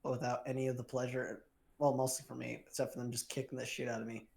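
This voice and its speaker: A man's voice: mid-range voice, level tone, fast, vocal fry, darkish tone. Young man, casual crude podcast chat, rambling, swears a lot, trails off. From Filipino heritage. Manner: rambling, swearing, often laughing, trails off mid-sentence. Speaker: podcast co-host.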